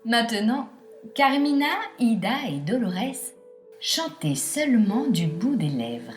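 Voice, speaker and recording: Female storyteller for children's tale: fairly high voice, very unhurried, theatrical phrasing. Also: big pitch swings. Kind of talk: scripted children's tale narration. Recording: roomy echo, music behind.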